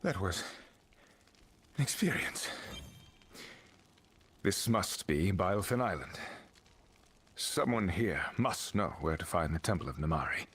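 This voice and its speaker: An actor playing an earnest, resolute adventurer in a fantasy game, husky, serious and formal. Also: male voice, low-pitched, lively intonation, a little slow, long pauses, fairly bright tone, full character voice.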